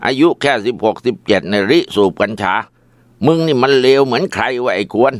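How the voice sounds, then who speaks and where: A man voicing a strict middle-aged father who scolds loudly. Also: medium pitch, normal speed, clean tone, no echo, voice pushed up, close to an everyday voice. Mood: furious. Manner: shouting, scolding.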